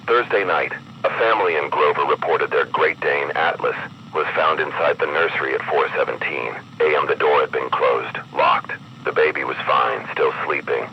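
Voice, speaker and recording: A man reading a scripted horror emergency broadcast as an announcer, in a grave, measured, ominous manner. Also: extremely low pitch. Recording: muffled broadcast with clear background noise.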